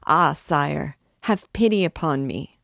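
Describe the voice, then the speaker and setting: A man's voice: high voice, fairly even intonation, a little slow, dry recording, clean studio sound, voice pushed up. A woman voicing a desperate male prisoner, begging the king for mercy in tearful pleas. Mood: pleading.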